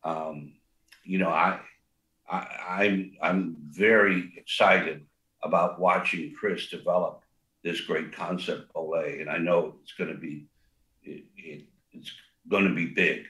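A man's voice: low-pitched, fairly even intonation, slow pace, a bit bright, echoey room. An older man, a restaurant co-founder, talks in a rambling way with many fillers and restarts and a big voice. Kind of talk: casual interview talk.

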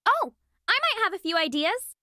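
A woman's voice: very high-pitched, sing-song, moderate pace, bright, forceful tone, no echo, studio quality, exaggerated voice. A woman playing a perky, eager, bubbly young woman in a video game. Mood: cheerful eagerness. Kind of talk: video game character acting.

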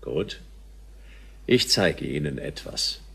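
Very deep, gravelly space visitor. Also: male voice, animated, very noisy, heavily acted. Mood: calm, deliberate. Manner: slow, formal, measured. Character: cryptic, unhurried deep-voiced male visitor from space. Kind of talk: science fiction radio drama acting.

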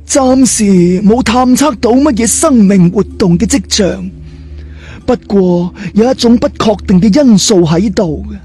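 A man playing a slow, high-voiced sly boss. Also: dark tone, husky, full character voice. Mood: ominous. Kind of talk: audiobook character dialogue.

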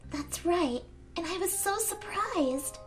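A young woman voicing a gentle, frail, wistful teenage girl in a video game fan dub, speaking softly and earnestly. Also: very high voice, animated, slow pace, exaggerated voice. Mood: reminiscing. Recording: amateur fan dub over game music. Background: game music.

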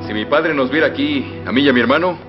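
An actor with a Mexican accent dubbing a middle-aged revolutionary general who teases, threatens and bursts into loud laughs. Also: male voice, mid-range voice, fast, natural voice. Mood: jovial. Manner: teasing, swings from soft menace to loud outbursts. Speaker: dubbed film character. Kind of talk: film dubbing. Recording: dull film soundtrack with long reverb and faint music.

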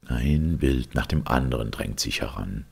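A man narrating a literary story with solemn, theatrical weight and a gravelly, husky voice. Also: very deep voice, measured pace. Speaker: audiobook narrator.

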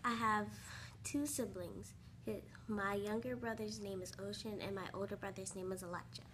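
A woman's voice: mid-range voice, steady tone, moderate pace, background noise. A young woman giving a careful, recited self-introduction into a phone camera at home. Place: indoors, at home.

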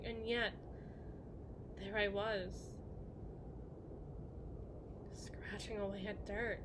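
Evil queen played by a woman, ASMR roleplay: brooding, wounded, mid-range female voice, halting dramatic delivery, noisy ambient backdrop. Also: mid-range voice, close to an everyday voice. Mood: grim, weary. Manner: halting, deliberate, with dramatic pauses and repeated words. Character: haunted evil queen, brooding, wounded, confessing a hard past. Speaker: audio roleplay performer. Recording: clear background noise, ambience.

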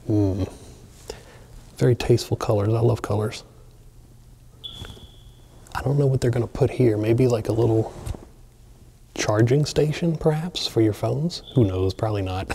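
Guy filming himself in a big empty house, excited and chatty, warm low-key tone. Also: a little slow, pauses often, a little hiss or hum. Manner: excited, chatty, addresses viewers. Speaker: abandoned places explorer. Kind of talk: casual walkthrough narration. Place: large empty mansion, indoors.

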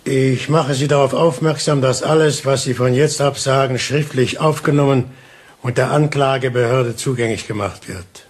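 An actor playing a shrewd police inspector in a radio play, speaking deliberately and theatrically with taunting insinuation. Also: male voice, medium pitch, fairly even intonation, close to an everyday voice. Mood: commanding. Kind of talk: German radio play acting.

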